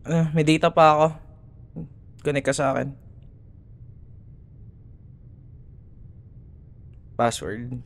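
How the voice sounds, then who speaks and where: A man's voice: mid-range voice, moderate pace, creaky, natural voice. A young man with a Filipino accent playing a cocky, teasing, easily annoyed college rival in an ASMR roleplay audio. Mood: grudging, businesslike. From Philippines. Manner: teasing, sarcastic, exasperated.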